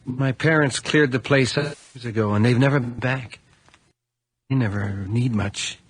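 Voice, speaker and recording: An actor playing a lonely, eerie young man of the woods, terse and quietly persuasive, in a horror radio drama. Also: mid-range voice, a little slow, slightly rough. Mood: quietly insistent. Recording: noisy radio drama recording with clicks.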